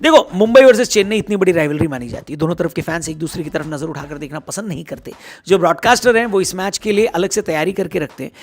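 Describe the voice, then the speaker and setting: A hoarse man giving casual cricket news commentary, chatty and teasing as he talks straight to his viewers. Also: high-pitched, animated, quick pace.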